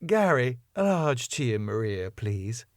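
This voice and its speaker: A man's voice: medium pitch, sing-song, takes it slowly, dry recording, studio quality. A man voicing a prim, tart middle-aged woman.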